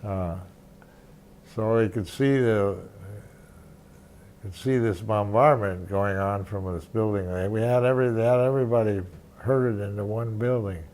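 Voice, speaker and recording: An older man recalls old reporting assignments, his voice sitting low. He stops and restarts often, hunting for words. A faint hiss runs under the recording. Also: darkish tone. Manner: halting, many restarts and fillers. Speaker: interviewee, veteran journalist. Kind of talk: reminiscing interview.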